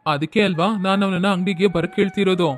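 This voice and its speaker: A man's voice: high voice, fairly even intonation, fast talker, lightly acted. A man voicing a stern merchant father. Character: middle-aged merchant father, stern and worried. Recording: faint background music.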